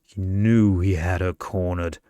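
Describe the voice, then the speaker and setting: A man reading scripted fiction as an ASMR storyteller, with a measured, deliberate delivery. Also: sits low, fairly even intonation, dark tone, no echo, clean studio sound. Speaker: story reader, ASMR narrator.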